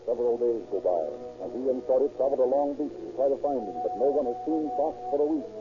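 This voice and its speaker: A man's voice: mid-range voice, lightly acted. A man as a solemn radio crime narrator. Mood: grave, suspenseful. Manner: measured, dramatic. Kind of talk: 1940s radio scripted narration. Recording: tinny old radio recording, music behind.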